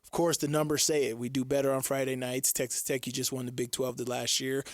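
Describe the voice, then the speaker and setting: A man's voice: mid-range voice, little pitch movement, quick pace, dry recording. A man co-hosting sports talk radio with slangy, jokey banter, recorded in a studio. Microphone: close studio microphone.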